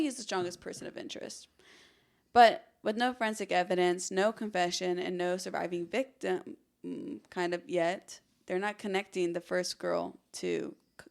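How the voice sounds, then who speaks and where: A woman's voice: lowish voice, creaky. Woman telling true crime stories conversationally. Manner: casual asides amid dramatic narration. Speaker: true crime podcast host.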